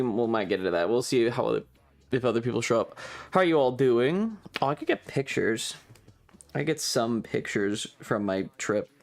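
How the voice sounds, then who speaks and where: A man's voice: medium pitch, gravelly. A man hosting a casual livestream, chatting with viewers in a playful, rambling, slightly distracted way. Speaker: live streamer and host.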